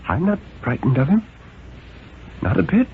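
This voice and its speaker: A man voicing a six-year-old boy who is subdued, hesitant and quietly stubborn, in a 1950s radio reading. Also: fairly high pitch, normal speed, creaky, breathy edge, exaggerated voice. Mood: quietly defiant. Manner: hesitant, small, flat, resigned. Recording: tinny, noisy 1950s radio recording.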